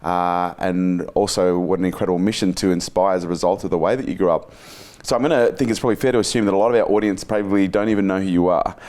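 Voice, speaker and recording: A man hosting a podcast interview, effusive and halting, with many fillers. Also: deep voice, fairly even intonation, darkish tone. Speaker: podcast host. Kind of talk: casual interview chat.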